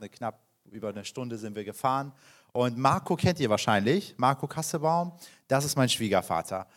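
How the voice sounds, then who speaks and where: Man in his thirties, guest pastor. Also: mid-range voice. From Iran. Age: 30s. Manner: warm, chatty and personal, with frequent fillers and restarts. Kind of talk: sermon introduction to a congregation. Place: church hall.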